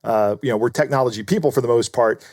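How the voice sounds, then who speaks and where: Male podcast co-host, conversational and explanatory, average pitch, dry studio sound. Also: fast talker, darkish tone, no room sound. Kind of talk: conversational commentary.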